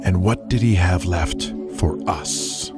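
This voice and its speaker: A bitter grown son voiced by a male narrator speaks low and resentfully. He sounds sullen, in an audio drama with music loud behind him. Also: takes it slowly. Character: bitter, sullen adult son of wrestling dynasty.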